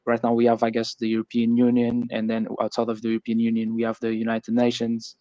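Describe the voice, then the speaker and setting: Halting Portuguese-accented man, even pitch. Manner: halting, repeats words while searching for phrasing. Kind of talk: casual political discussion. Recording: muffled, echoey voice-chat audio.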